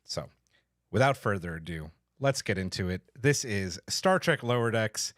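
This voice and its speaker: A man's voice: fairly low pitch, expressive, normal speed, fairly bright tone, no room sound, clean studio sound. A man hosting a podcast in an enthusiastic, casual, chatty way. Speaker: podcast host.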